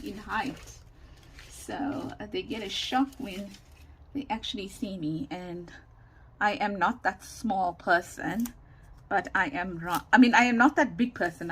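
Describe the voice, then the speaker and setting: A woman giving a casual, chatty craft demonstration, thinking aloud in a rambling way with a loud, carrying voice, recorded without a separate microphone. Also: mid-range voice. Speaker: craft tutorial host. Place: indoors at a home craft desk. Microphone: camera or phone without separate microphone. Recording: noisy room, no microphone.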